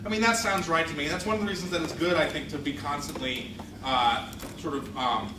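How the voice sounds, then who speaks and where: A man's voice: a little high, brisk. A man facilitating a group workshop discussion in a classroom, speaking thoughtfully with frequent hesitant restarts. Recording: distant roomy classroom mic with noise.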